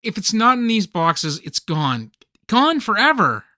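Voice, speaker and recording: An excitable, melodramatic nerd hosting a party, played by a man in a radio comedy. His voice is bright and swings animatedly through geeky, nostalgic banter. The sound is dry and close. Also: sits high, measured pace, dry recording, exaggerated voice. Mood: anxious, dramatic. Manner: theatrical, geeky slacker banter. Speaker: actor in a radio comedy play. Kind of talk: scripted radio play comedy dialogue.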